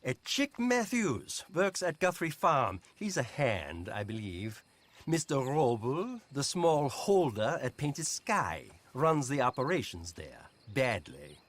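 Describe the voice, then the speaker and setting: Smug German loan shark, formal and oily, voice rising and falling a lot. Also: male voice, mid-range voice, big pitch swings, slow. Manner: oily, formal, self-satisfied. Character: smug middle-aged male German moneylender. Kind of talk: video game cutscene acting.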